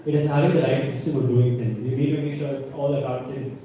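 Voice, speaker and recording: A man's voice: mid-range voice, fairly even intonation, a little fast, warm tone. A young man from North India with an Indian accent, sharing anecdotes on a panel in a conversational way, often checking in with "right?". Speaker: alumni panelist, businessman. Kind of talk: informal panel talk with anecdotes. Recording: echoey hall, distant mic, faint noise.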